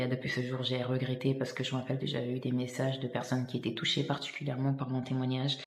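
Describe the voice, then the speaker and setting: A woman's voice: a bass voice, a little mellow, roomy sound. A woman from Italy sharing her personal faith testimony in a vlog, earnest and hesitant, with many fillers and restarts.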